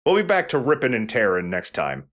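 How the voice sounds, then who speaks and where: A man's voice: mid-range voice, huge pitch swings, brisk, a bit bright. A man giving casual, explanatory and opinionated let's play commentary.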